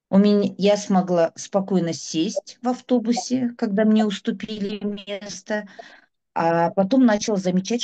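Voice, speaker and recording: A woman's voice: mid-range voice, mellow. A middle-aged woman, giving a chatty, rambling personal testimonial about her health. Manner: chatty, rambling, listing ailments. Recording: dull lo-fi sound.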